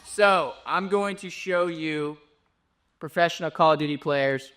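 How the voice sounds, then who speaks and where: A man with a fairly high voice acts as interviewer. His speech is informal and chatty, like casual talk among friends. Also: a little slow, a bit bright, clear, resonant tone, soft background music. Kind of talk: casual chat.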